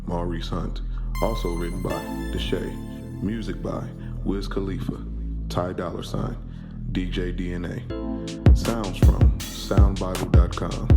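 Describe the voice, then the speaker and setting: A man's voice: low-pitched, level tone, slow. A man announcing scripted podcast closing credits. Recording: loud hip hop music over voice. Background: loud hip hop music.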